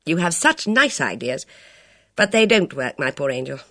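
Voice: mid-range voice, sing-song, no echo, studio quality. A reader voicing a bold, reckless middle-aged woman who speaks sharply and commandingly. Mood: imperious. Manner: brisk, imperious, sharp.

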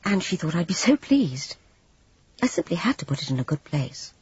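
A woman's voice: fairly low pitch, big pitch swings, normal speed, darkish tone, breathy edge, no room sound, exaggerated voice. A reader voicing a meek, flustered young woman who stammers and hesitates, eager to please, on a dull, dry recording. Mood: pleading.

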